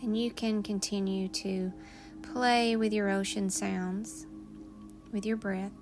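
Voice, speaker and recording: A woman leading a guided meditation in a soothing, unhurried manner, leaving long pauses between phrases. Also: medium pitch, flat delivery, music in the background.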